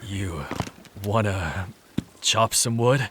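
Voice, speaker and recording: A man playing a tough but awkward, easily flustered demon-fighting warrior in an audio drama, with halting delivery. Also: fairly low pitch, background noise.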